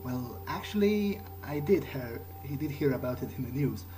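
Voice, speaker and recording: A man's voice: mid-range voice, lively intonation, moderate pace, dark tone, soft background music, rough recording. Hesitant man playing a game in character. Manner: hesitant, musing to himself. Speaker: gaming video maker. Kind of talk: in-character game playthrough commentary. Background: video game ambience.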